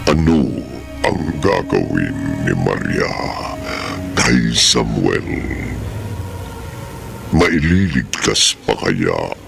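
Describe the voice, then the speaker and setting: A man narrating a horror radio drama as its announcer, ominous and theatrical with drawn-out delivery. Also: a bass voice, big pitch swings, creaky, over music.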